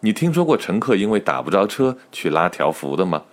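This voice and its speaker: A man's voice: sits low, sing-song, fairly quick. A man narrating a comic radio drama as a wry, mock-serious storyteller, with noise behind him. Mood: wry, dramatic.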